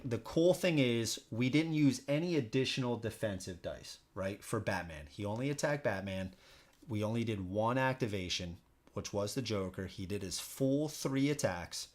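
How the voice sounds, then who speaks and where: A man with an average-pitched voice gives casual live commentary as he plays a board game. He thinks out loud in an informal way, often saying a phrase again as he works through his moves. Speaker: streamer hosting a solo board game playthrough. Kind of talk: casual live stream commentary while playing.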